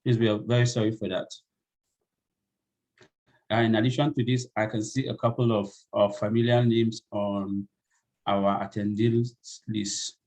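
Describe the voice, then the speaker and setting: A man's voice: fairly low pitch, level tone, unhurried, long pauses, a little mellow, low-quality recording. A man working as a training coordinator, hosting a webinar over a video call, polite and apologetic, with many fillers.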